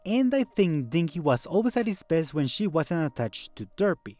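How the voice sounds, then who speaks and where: Higher-pitched man narrating with lively intonation. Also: moderate pace, no echo. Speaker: video essay narrator. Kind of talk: scripted narration. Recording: background music under voice.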